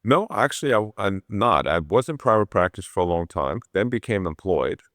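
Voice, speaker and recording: A man's voice: low-pitched, lively intonation, normal speed, a bit bright, dry recording, clean studio sound. A middle-aged man from Switzerland telling his own story conversationally as an interview guest. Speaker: interview guest, orthopedic surgeon.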